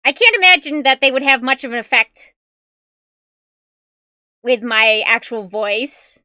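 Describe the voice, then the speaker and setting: A woman's voice: a little high, animated, a little slow, bright, forceful tone, no echo, studio quality. A woman streamer chatting casually with viewers in a tiny, cute-sounding voice, giggling often and rambling with fillers. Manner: giggly, laughs often between remarks; rambling, with fillers and self-corrections. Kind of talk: casual livestream chat with viewers.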